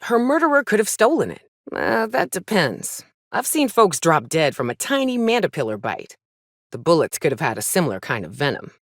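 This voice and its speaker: A woman voicing a gruff, tough but caring frontier monster hunter in a video game, with wry, folksy, blunt delivery. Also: deep voice, wildly swooping pitch, normal speed, no echo, clean studio sound, heavily acted. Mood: matter-of-fact, wry. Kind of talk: video game character dialogue.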